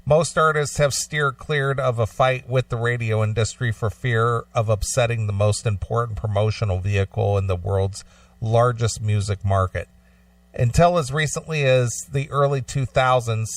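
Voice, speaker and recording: A man's voice: medium pitch, normal speed, dry recording, distant mic. A man co-hosting a radio talk show, reading news items aloud and chatting about them.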